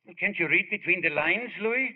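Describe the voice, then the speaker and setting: A timid old husband and father in a vintage radio play. His fairly high voice jumps about as he confides haltingly, through a thin, muffled broadcast. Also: lively intonation. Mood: nervous, confiding. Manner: hesitant, confiding, exasperated. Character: timid, henpecked old father giving roundabout advice. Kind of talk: 1940s radio drama acting.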